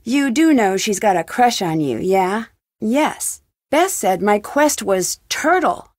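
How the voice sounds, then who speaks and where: A woman's voice: medium pitch, big pitch swings, slow, no echo, studio quality. An actress voicing a curious, composed young female detective in a video game, asking polite but pointed questions. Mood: curious, questioning.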